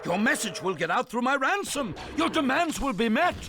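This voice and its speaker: An actor playing a cowardly Imperial governor in a video game, frantic and pleading. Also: male voice, extremely high pitch, very animated, normal speed, noisy background. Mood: terrified pleading. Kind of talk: scripted video game acting.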